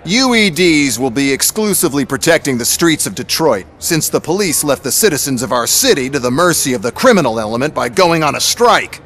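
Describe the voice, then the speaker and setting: High-voiced arrogant corporate executive. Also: male voice, big pitch swings, a little fast, few pauses, fairly bright tone. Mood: proud, showy. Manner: pompous salesman's presentation. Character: arrogant, slick corporate tech executive, adult male. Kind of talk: video game cutscene acting.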